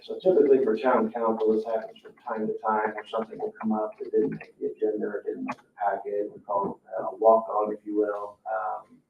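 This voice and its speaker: A man's voice: on the low side, completely flat delivery, fairly quick, gravelly, few pauses. A man presenting to a board in an informal, explanatory way, sometimes hesitant in his phrasing. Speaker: town staff member presenting to a board. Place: indoor public meeting room. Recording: muffled, echoey meeting room, distant mic, hiss.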